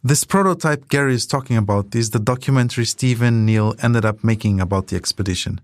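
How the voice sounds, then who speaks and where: A man's voice: mid-range voice, fairly quick, no echo. A man narrating a scripted documentary podcast as its storyteller.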